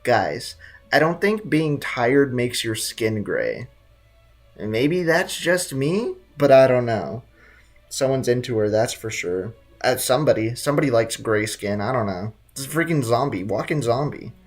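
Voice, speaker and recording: A man's voice: medium pitch, very animated, unhurried. A man chatting casually and jokingly with viewers as he streams a game, using plenty of slang. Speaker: live streamer. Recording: game music behind the voice. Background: game music.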